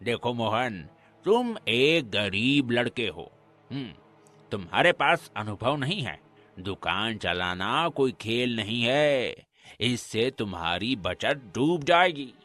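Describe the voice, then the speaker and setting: Bright and slow-paced, this is a pompous, scheming village priest and moneylender from a cartoon, acted by a man. He is condescending and swings his pitch in a lively way, with music underneath. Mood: falsely friendly. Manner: pompous, condescending, tags questions onto sentences. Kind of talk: acted Hindi cartoon dialogue.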